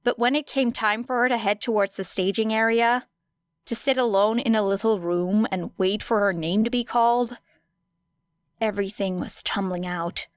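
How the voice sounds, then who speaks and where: A woman reading an audiobook story dramatically, with deliberate, expressive phrasing and weighty pauses. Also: medium pitch, dry recording. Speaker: audiobook narrator. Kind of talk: dramatic scripted fiction narration.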